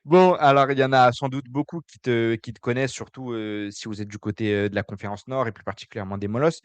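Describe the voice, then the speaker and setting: A man speaks as a podcast host in an interview style. His pitch sits in an ordinary middle range, and the recording is dry with very little room sound. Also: fast talker, smooth, no room sound.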